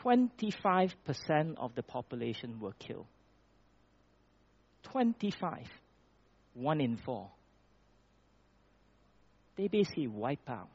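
A man's voice: medium pitch, darkish tone, husky, lo-fi sound. A man from Malaysia preaching a sermon, reading scripture with rising emphasis and then talking plainly with small restarts.

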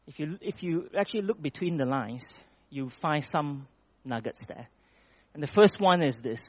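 A man's voice: fairly high pitch, expressive, normal speed, a little mellow, slightly rough, no echo. Guy from malaysia giving a sermon, dry dull-ish sound, stops and restarts a lot. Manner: reads scripture with rising emphasis, then talks plainly with restarts. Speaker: preacher.

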